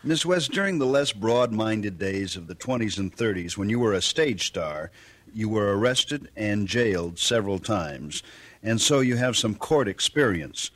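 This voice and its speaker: A man's voice: on the low side, lively intonation, unhurried, fairly bright tone. A man conducting a scripted radio interview in a formal, polished and courtly manner.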